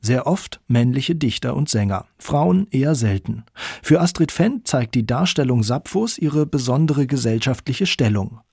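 A man's voice: medium pitch, expressive, normal speed, creaky, a little mellow, slightly rough, no echo, clean studio sound. A man reading scripted narration as the narrator of a radio documentary.

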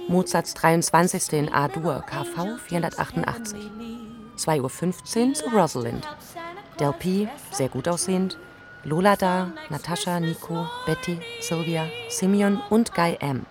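Low-voiced young diarist. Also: female voice, animated, measured pace. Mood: zestful. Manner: listing in short fragments. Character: lively young woman of twenty reading her diary. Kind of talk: literary radio play diary reading.

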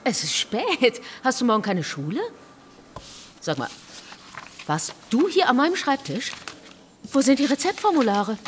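A woman's voice: on the high side, sing-song, unhurried, pauses often, a little hiss or hum. An actress playing a weary female hospital doctor and mother, curt and brusque in the way she talks. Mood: suspicious, stern. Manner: clipped, brusque. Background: music.

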